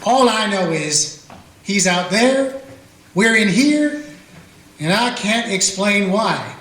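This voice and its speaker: A man's voice: sits high, lively intonation, takes it slowly, fairly bright tone, full character voice. A man playing a plain-spoken small-town Western sheriff who also narrates, with folksy grammar. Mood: steady. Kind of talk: amateur radio play acting with narration. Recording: roomy echo.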